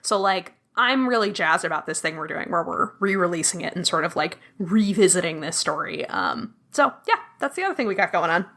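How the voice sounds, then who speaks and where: Female guest, bright and forward tone, bubbly and chatty. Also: lowish voice, lively intonation, a little fast, few pauses. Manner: bubbly, laughs often, full of fillers. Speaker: podcast guest, audio drama producer. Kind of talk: casual chat.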